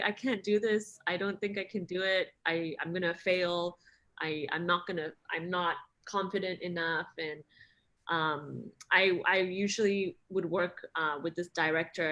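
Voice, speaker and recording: A woman's voice: on the low side, steady tone, moderate pace, a bit bright. A woman actress being interviewed, talking casually and self-deprecatingly, with lots of fillers and restarts. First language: not Thai. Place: in Thailand.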